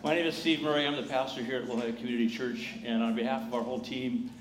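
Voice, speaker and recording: A man speaking as a pastor, welcoming and encouraging a congregation in measured phrases during a live church service. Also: medium pitch, fairly even intonation. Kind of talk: church welcome and scripture reading. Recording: echoey church sanctuary, clear background noise.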